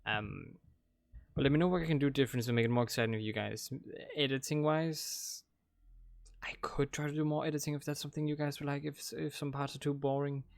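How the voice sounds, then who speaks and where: Gravelly young Danish-accented man. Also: fairly high pitch, fairly even intonation, a little fast, no echo. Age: young adult. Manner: rambling, hesitant, with many fillers and restarts. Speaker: gaming channel host. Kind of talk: casual commentary wrapping up a playthrough. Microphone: desk microphone.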